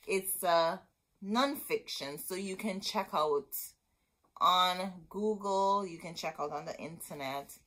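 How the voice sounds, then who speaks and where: A middle-aged woman hosting a storytime, reading a picture book aloud expressively with dramatic, deliberate phrasing and asides to her listeners. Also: lowish voice, fairly bright tone. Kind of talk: expressive children's read-aloud. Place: small indoor room with bookshelves.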